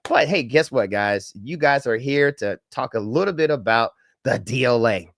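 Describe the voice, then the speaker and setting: A man's voice: mid-range voice, lively intonation, moderate pace, gravelly. A middle-aged man with a head cold, his voice a bit crackly and nasal, hosting a live-stream training in an upbeat, folksy manner with preacher-like greetings. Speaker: government contracting trainer. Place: home setup in Dallas.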